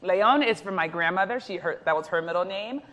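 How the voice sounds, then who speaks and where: A woman in her thirties whose first language was Haitian Creole, interviewing on an indoor festival stage with a lapel mic, lively and laughing easily. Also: fairly low pitch, brisk. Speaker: interviewer, journalist. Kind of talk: onstage festival conversation.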